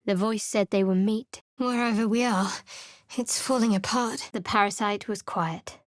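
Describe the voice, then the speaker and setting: A woman's voice: medium pitch, a little slow, no room sound, natural voice. An actress voicing a guarded, sardonic young female cleric in video game voice lines, with a cool, dry manner. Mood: wry.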